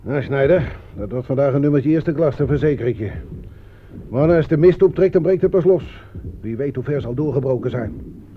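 Seasoned soldier male actor, colloquial, sardonic, excitable; war radio drama with heavy background noise. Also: medium pitch, normal speed, close to an everyday voice. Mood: wry, restless. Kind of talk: Dutch war radio play acting. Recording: muffled old radio recording, clear noise.

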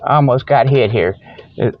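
A man's voice: mid-range voice, moderate pace, no room sound. A man hosting a comic show in a rambling, folksy monologue, digressing freely and given to chuckling at his own jokes. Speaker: host of a comic Sasquatch-hunting show. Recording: dull, lo-fi.

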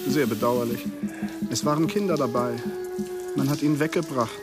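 An actor playing a composed, formal stranger with a measured, coolly polite manner in a radio crime drama. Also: male voice, mid-range voice, over loud music, lightly acted. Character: composed, formal male stranger delivering a message.

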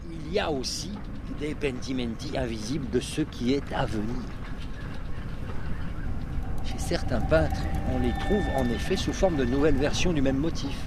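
An actor playing an earnest male art lecturer in a radio play, explaining haltingly and searching for words. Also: medium pitch, very noisy, lightly acted.

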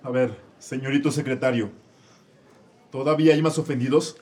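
A man's voice: mid-range voice, steady tone, moderate pace, a little mellow. A man playing a bossy, corrupt male judge in a Mexican radio comedy sketch. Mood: smug. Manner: bossy, exasperated.

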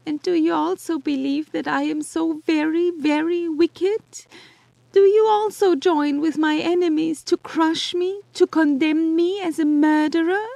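A woman's voice: a little slow, mellow, no room sound. A woman voicing a condemned young servant woman who is weeping and pleading, in an audio drama. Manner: sobbing, pleading, gravelly low delivery.

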